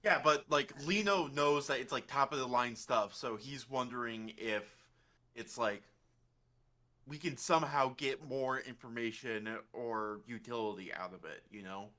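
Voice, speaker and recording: A man's voice: medium pitch, unhurried, pushed and bright. A man playing in a tabletop game, hesitant and full of likes. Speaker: player.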